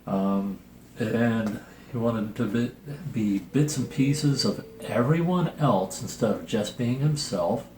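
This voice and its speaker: A man's voice: medium pitch, slow pace, mellow. A middle-aged man with dry, wry humor hosting a casual picture book reading and review. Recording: roomy echo, noticeable background hiss.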